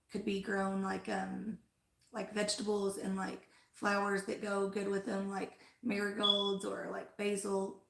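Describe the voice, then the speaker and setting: A woman's voice: mid-range voice, vocal fry. A woman hosting a flower-farm livestream in casual chat, rambling with lots of "like" and "um", her voice worn after being sick. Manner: rambling, fills with "like" and "um". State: losing her voice after an illness. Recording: echoey room.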